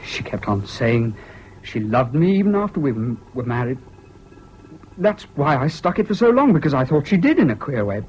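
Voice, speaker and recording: An actor in a 1970s British radio drama playing a troubled, self-pitying jilted husband, halting and plaintive, on an old recording. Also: male voice, mid-range voice, big pitch swings, a little mellow, close to an everyday voice. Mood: hurt, bewildered. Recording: dull, faintly noisy old radio recording.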